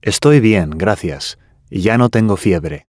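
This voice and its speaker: Low-voiced man reading careful lesson dialogue. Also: expressive, takes it slowly, a little mellow, no echo, studio quality. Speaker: language course dialogue reader.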